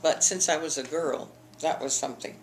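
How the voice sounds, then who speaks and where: A woman's voice: deep voice, moderate pace, a little hiss or hum, poor audio. Older woman telling memories, rambling. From eastern United States. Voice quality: rambling storyteller with restarts. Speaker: interviewee, alumna. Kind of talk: oral history interview.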